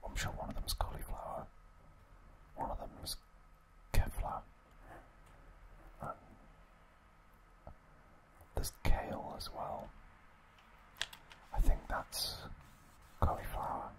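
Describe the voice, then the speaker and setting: A man hosting an ASMR video, whispering trivia in a hushed, deliberate manner with pauses. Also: low-quality recording.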